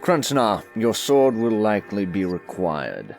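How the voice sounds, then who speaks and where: A man's voice: on the low side, huge pitch swings, measured pace, vocal fry, soft background music, pitched below the performer's own voice, heavily acted. A man voicing an imperious, theatrical lord in an audiobook, with a formal, commanding manner. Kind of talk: dramatised audiobook character dialogue.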